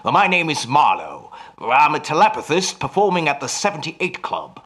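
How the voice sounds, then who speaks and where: A man playing a grave, earnest stage telepathist in a radio play, with a deliberate, courteous and dramatic manner. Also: fairly high pitch, big pitch swings, fairly bright tone. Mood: urgent, grave.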